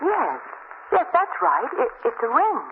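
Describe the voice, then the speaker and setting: A woman's voice: sits high, huge pitch swings, unhurried, heavily acted. An actress playing a polite, eager young woman sleuth in an old-time radio drama, with a breezy, well-bred manner. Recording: tinny, hissy old radio recording.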